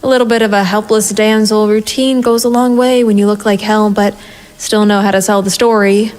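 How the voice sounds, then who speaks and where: A woman's voice: mid-range voice, fairly even intonation, moderate pace, darkish tone, close to an everyday voice, poor audio. A woman playing a hardboiled, sardonic private investigator in a noir audio drama, with a wry, self-mocking manner. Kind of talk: first-person noir audio drama narration and dialogue.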